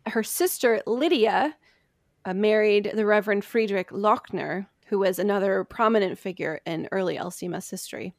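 A woman's voice: mid-range voice, moderate pace, no echo. Woman casually telling history, dry close sound. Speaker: podcast host presenting history research. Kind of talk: casual storytelling from notes among friends.

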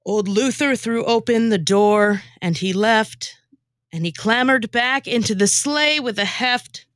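A woman with a theatrical, animated delivery, moving between comic storytelling and casual podcast chat. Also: a little high, measured pace, no room sound, studio quality. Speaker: podcast former co-host and guest performer.

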